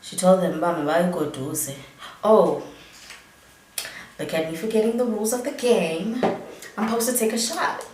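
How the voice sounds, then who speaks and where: A woman's voice: mid-range voice, normal speed, pauses often, darkish tone, a little hiss or hum. Young South African woman vlogger, a bit tipsy, loose rambling delivery; roomy sound with the mic at a distance. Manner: rambling, chatty, many fillers. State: tipsy from drinking. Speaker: beauty vlogger. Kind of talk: casual storytime chat.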